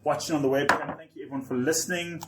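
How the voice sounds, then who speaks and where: A man's voice: a little high, steady tone, very fast, heavy background noise. A man hosting a casual radio show, chatting with his guests in the studio. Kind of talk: casual chat.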